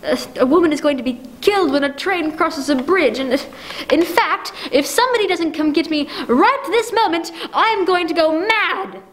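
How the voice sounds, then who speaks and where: A woman's voice: very high-pitched, animated, normal speed, few pauses. A young woman playing a frantic, demanding invalid wife in a 1940s-style radio play, stammering and repeating herself as she pleads. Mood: agitated, upset.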